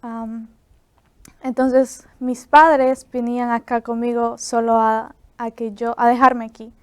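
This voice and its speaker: Nineteen-year-old Ecuadorian woman guest, smooth flat voice, soft, lots of fillers. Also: a little high, slow, no room sound. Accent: Ecuadorian Spanish. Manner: soft-spoken, many "am" fillers. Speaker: student guest. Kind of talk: interview.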